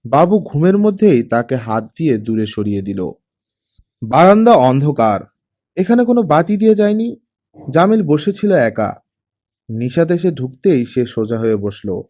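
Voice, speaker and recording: A man's voice: on the high side, big pitch swings, normal speed, pauses often, warm tone. A man reading a scripted story as an audiobook narrator.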